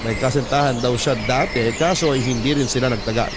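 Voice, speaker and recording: A man's voice: medium pitch, a little fast, background noise. A man reading a scripted first-person love story as its narrator. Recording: loud music bed under the voice.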